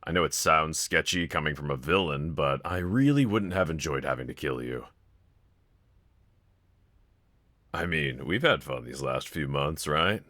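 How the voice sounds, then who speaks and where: A man's voice: extremely low pitch, expressive, moderate pace, hardly any pauses, sharp tone, no echo, studio quality, exaggerated voice. A man voicing a wanted young male villain in a fan audio roleplay, talking to one listener in a casual, sardonic, teasing drawl. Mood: exasperated, coolly menacing.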